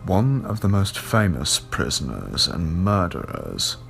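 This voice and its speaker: A man voicing a grave, learned gentleman in an audiobook, explaining in a measured, deliberate way. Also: low-pitched, big pitch swings, vocal fry, soft background music, heavily acted. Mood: grave, certain. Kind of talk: audiobook character dialogue.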